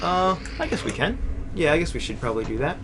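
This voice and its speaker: A man's voice: on the high side, very animated, quick pace, noisy background. A man co-hosting a casual video game let's-play, who teases his partner and explains what he is doing in the game. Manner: playful, teasing, explains gameplay. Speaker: co-host of a gaming channel.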